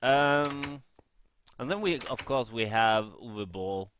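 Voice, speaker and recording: A man's voice: medium pitch, slow pace, a bit bright, slight background noise. A man giving casual gameplay commentary, rambling and thinking aloud as he shares blunt opinions. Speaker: gaming vlogger.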